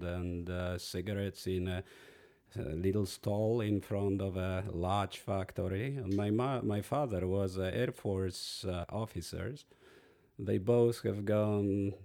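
A man in his sixties from Poland with a Polish accent, a podcast guest slowly and thoughtfully recalling his past. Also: low-pitched, dark tone. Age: 60s. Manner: measured, thoughtful, pauses and restarts. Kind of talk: slow reflective storytelling.

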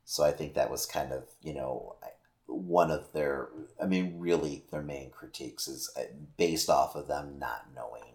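A man with a very low voice co-hosting a laid-back podcast. He rambles through his points with plenty of fillers and restarts, swears now and then and laughs easily. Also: normal speed, vocal fry, a little mellow. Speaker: podcast co-host. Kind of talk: casual podcast chat.

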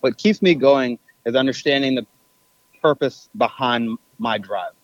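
A man's voice: medium pitch, unhurried, no echo. A man being interviewed over the phone, talking thoughtfully with lots of fillers and self-corrections. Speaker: podcast guest, business owner. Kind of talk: casual interview talk. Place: on a phone call. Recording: dull phone line.

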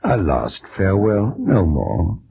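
A dull, noisy old radio recording carries a morbid man crazed by grief. He speaks slowly in a high-strung theatrical style, moving between breathy hush and feverish intensity, like the doomed heir of a horror play. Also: wildly swooping pitch, heavily acted. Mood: mournful, tender. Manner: theatrical, swinging from hushed whispers to feverish outbursts. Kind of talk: 1940s-50s radio horror drama acting.

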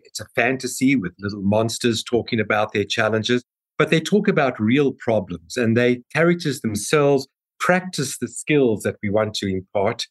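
A husky man's voice of medium pitch, with a South African accent. He speaks as an expert interview guest, giving measured, earnest explanations. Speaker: podcast guest, developmental pediatrician.

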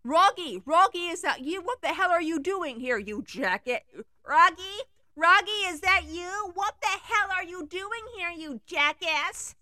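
Casting: a woman playing a shrill valley-girl teen, loud, very high, bright. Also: very animated, slow pace, no echo, studio quality, exaggerated voice.

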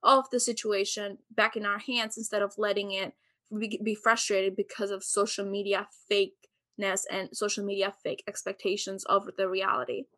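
A woman's voice: medium pitch, level tone, normal speed, pushed and bright. A woman from Albania hosting an interview, earnestly asking long, rambling questions. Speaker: interview host. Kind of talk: conversational interview.

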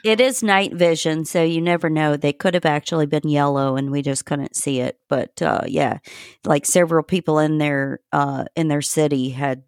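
A woman's voice: sits low, steady tone, normal speed, smooth, no room sound, studio quality. A woman hosting a casual podcast, chatty and giggly, swearing now and then. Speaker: podcast host.

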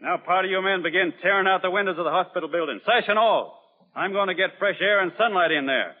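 An actor playing a stern, weary, commanding army doctor with a Southern US accent in an old film drama. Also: male voice, sits high, lively intonation, fast talker, long reverb tail. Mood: brisk, commanding. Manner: forceful, clipped orders. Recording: muffled, narrow-band old film soundtrack.

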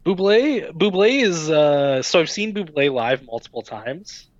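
A man co-hosting a casual podcast, relaxed and profane. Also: a little high, unhurried, no room sound, muffled recording. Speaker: podcast co-host. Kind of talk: casual chat.

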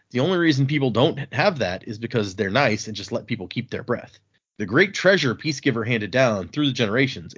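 A man hosting a podcast, chatting casually and reading listener emails aloud in a relaxed, halting way. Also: medium pitch, breathy edge, dull audio. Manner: relaxed, halting, with restarts and fillers. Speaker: podcast host.

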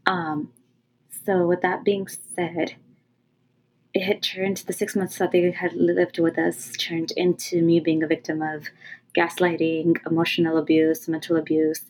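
A woman's voice: fairly low pitch, gravelly, a little mellow, a little hiss or hum, low-quality recording. A woman from California, a guest author who talks openly in casual interview style, with many ums and restarts as she searches for words. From California, USA.

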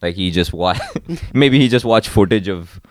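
A man in casual chat, rambling and halting, often trailing off quietly mid-thought. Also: lowish voice, lively intonation, dry recording, clean studio sound.

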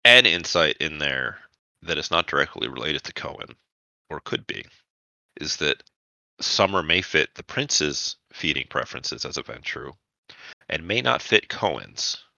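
A man with an extremely low voice and a hard, very bright edge runs a tabletop roleplaying game. He phrases his narration deliberately, pausing between thoughts, and the sound is close and dry. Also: big pitch swings, vocal fry, no echo, poor audio. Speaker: game master.

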